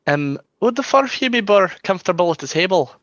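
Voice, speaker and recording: A man's voice: high voice, expressive, fast talker, fairly bright tone, dry recording, lo-fi sound. A man acting in a comedy radio play as a friendly, mild bartender with a slightly hesitant manner. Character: friendly, easygoing bartender, gently firm.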